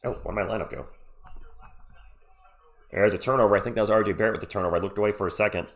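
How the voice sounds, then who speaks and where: Man, low voice, sick with a cold, casual sports commentary, live stream host, rambling, jokey. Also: steady tone, rapid-fire.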